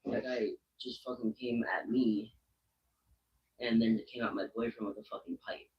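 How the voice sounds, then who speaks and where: A man quietly and hurriedly reporting a threat, sounding worried. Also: mid-range voice, little pitch movement, normal speed, slightly rough, dull audio. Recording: faint voice, far from the mic.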